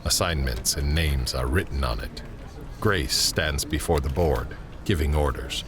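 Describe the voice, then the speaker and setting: A man narrating an audio drama in measured, descriptive scripted prose. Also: rumbling low, crowd noise. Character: male narrator setting scenes, measured and descriptive. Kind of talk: scripted present-tense scene narration.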